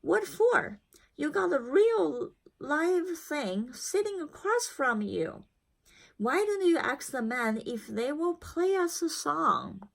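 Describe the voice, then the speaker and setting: Woman as a high, lively, folksy old grandmother. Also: slow, creaky, exaggerated voice. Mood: encouraging firmness. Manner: folksy, emphatic, gently scolding. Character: wise, spirited old grandmother, firm and cheerful.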